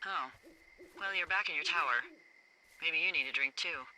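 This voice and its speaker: American female fire lookout for a game: very sharp, high-strung, sardonic, foul-mouthed, radio-filtered with faint noise. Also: moderate pace, poor audio. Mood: wry. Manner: blunt, swearing, agitated. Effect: handheld radio. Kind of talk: naturalistic game dialogue. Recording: walkie-talkie voice with faint noise.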